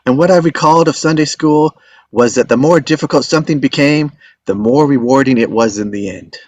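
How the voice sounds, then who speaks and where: A man's voice: medium pitch, a little fast, no echo, close to an everyday voice. An actor playing an earnest, adventurous young man who tells his story in a screenplay table read. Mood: reflective.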